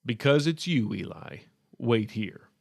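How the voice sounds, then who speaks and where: A flamboyant, teasing man from a storybook, voiced by a male narrator. He sounds creaky and close, with a playful, knowing amusement in how he speaks. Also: mid-range voice, animated, a little slow, darkish tone, no echo. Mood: amused. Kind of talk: storybook character voice.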